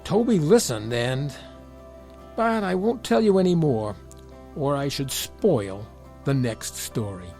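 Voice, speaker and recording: A man's voice: fairly high pitch, big pitch swings, slow pace, vocal fry, darkish tone, soft background music. A man reading a scripted children's story as the narrator.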